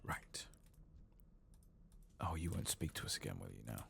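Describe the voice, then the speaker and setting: Male gaming ASMR host: deep, creaky, soft-spoken with some whispering, unhurried, dryly self-deprecating. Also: lively intonation, slight background noise.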